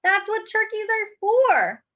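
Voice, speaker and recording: A woman's voice: extremely high pitch, very animated, takes it slowly, sharp tone, lo-fi sound, pitched above the performer's own voice, exaggerated voice. A woman voicing a practical, matter-of-fact grandmother in a storybook. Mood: dismissive.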